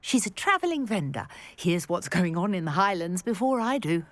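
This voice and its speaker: A woman playing a brisk, wry historian and archaeologist in a video game, articulate and full of curiosity. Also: fairly low pitch, lively intonation, no room sound, close to an everyday voice. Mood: wry, matter-of-fact. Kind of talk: video game character acting.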